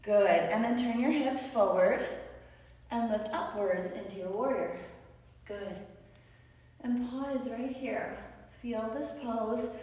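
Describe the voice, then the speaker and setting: Raspy tired woman coaching yoga, upbeat and encouraging, far from the mic in a really echoey room. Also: a little high, darkish tone. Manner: encouraging, upbeat and playful cues. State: tired from many classes. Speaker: yoga instructor. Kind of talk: guided yoga class instruction.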